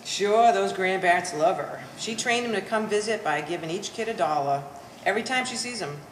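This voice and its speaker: A woman's voice: mid-range voice, lively intonation, moderate pace, lightly acted. Woman playing a sardonic, bookish daughter, echoey hall. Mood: bitter. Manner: pompous big words, dry and precise. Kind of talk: one-act stage play performance. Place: art gallery, live staged reading. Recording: reverberant gallery hall, faint hiss.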